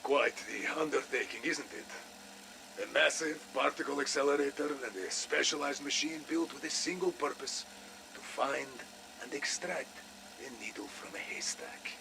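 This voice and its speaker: Russian double agent, game audio log: gravelly, sly, measured, with clear rumbling noise behind. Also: male voice. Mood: musing, dramatic. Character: shrewd, wary Russian double agent, grown man. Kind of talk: cinematic video game voice acting.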